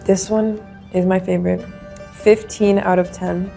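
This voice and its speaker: A woman's voice: on the low side, little pitch movement, measured pace, gravelly, dark tone, faint music behind. A woman from California with an American accent, chatting casually as a guest in a reaction video. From California, United States. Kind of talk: casual chat.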